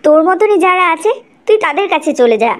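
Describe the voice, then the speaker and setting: Woman playing a shrill-pitched, scornful college girl. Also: expressive, fairly quick. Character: scornful, threatening young female college friend. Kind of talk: cartoon dialogue.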